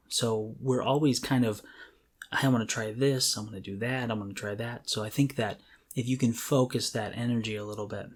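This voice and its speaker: A man speaking casually and earnestly as a podcast co-host, giving emphatic advice on creative life. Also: medium pitch.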